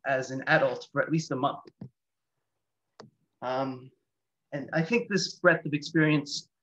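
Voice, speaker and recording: A Canadian man in his thirties giving an open, reflective seminar presentation in a conversational way over a video call. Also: fairly high pitch. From Canada, near Toronto. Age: 30s. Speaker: guest speaker, patient advocate. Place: small room indoors, on a video call. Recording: dull lo-fi video call audio.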